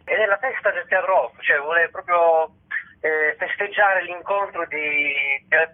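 Man on a tinny phone line. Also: on the high side. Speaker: festival director, interview guest. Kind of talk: spontaneous explanatory radio interview. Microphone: telephone call into radio show.

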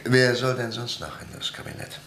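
An actor playing an ironic, proud professor turned politician who talks dryly and briskly in a radio drama. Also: male voice, mid-range voice, little pitch movement, a bit bright, a little hiss or hum, lightly acted.

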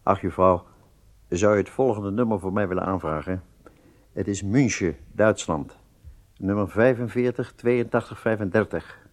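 A man's voice: on the low side, moderate pace, natural voice. A male actor playing a pragmatic, reflective intelligence man in a radio drama. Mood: businesslike.

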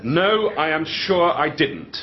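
An actor with a British accent playing an uneasy, evasive gentleman in the witness box of a radio drama, hesitant, guarded and formal. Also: fairly high pitch, lively intonation, slow pace. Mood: nervous, guarded. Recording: dull, muffled radio drama recording.

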